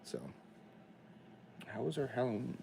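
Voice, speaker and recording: A man with a cold and a raspy, hoarse voice, rambling casually as he hosts a livestream from his home studio. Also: medium pitch, unhurried, dark tone, slight background noise. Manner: rambling, chatty, talks to himself while setting up. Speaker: livestream host.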